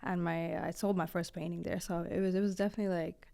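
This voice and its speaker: A woman's voice: on the low side, flat delivery, fast talker, gravelly, warm tone. A young woman from Sri Lanka, chatting casually as a guest, with lots of fillers and restarts. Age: young adult. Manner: chatty, many fillers and restarts, laughs often. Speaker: guest artist. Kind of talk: casual interview chat.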